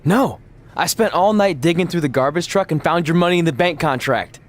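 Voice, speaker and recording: A man's voice: a little high, brisk, natural voice. A man voicing an upbeat, oddball, loyal friend in a video game, enthusiastic and guileless as he talks. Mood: proud, cheerful. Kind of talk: video game cutscene acting, conversational.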